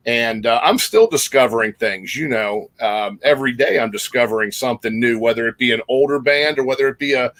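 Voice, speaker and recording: A man's voice: medium pitch, a little fast, hardly any pauses, fairly bright tone, no room sound. A man with a mid-range voice hosts a casual interview. He talks in an easygoing, conversational way, and the sound is very dry, with hardly any room to it. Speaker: podcast host.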